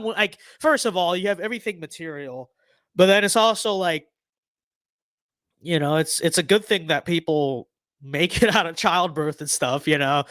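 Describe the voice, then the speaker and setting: Hoarse young man, livestream host, fillers and restarts, pitched a bit high, dry sound. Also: a bit bright, no room sound. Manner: rambling, filler-heavy, many restarts. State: losing his voice. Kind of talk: casual livestream commentary.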